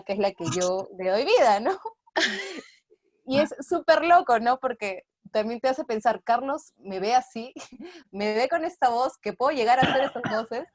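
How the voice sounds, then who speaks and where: A woman's voice: fairly high pitch, darkish tone, no room sound, clean studio sound. A woman, an actress speaking casually and reflectively in an interview.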